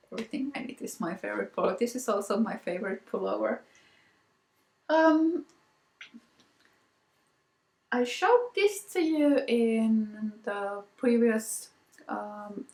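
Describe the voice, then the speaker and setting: A woman with a Finnish accent, a native Finnish speaker, hosting a casual knitting vlog, soft-spoken with frequent ums and repeated words. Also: fairly high pitch, gravelly, reverberant. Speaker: knitting podcast host. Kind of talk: casual show-and-tell vlog.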